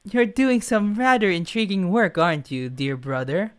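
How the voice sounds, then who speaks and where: A woman voicing a creepy, obsessively loving younger brother, speaking slowly in a courtly, ominously affectionate way over music. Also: medium pitch, big pitch swings, clean tone, close to an everyday voice. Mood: sly menace.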